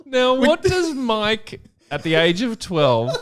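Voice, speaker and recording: A man's voice: high-pitched, huge pitch swings, takes it slowly, a little hiss or hum. An Australian man in casual comedic chat, joking crudely and swearing freely. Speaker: podcast co-host reading fan fiction aloud.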